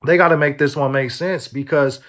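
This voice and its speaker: A man's voice: a little high, fairly even intonation, fairly quick, fairly bright tone. A man arguing in a heated, rhetorical way, recorded on a dull lo-fi track.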